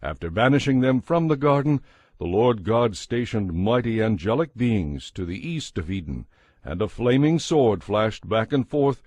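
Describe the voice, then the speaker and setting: A man's voice: on the low side, very animated, moderate pace, a little mellow, no echo. A man reading scripted narration for a dramatized audio Bible.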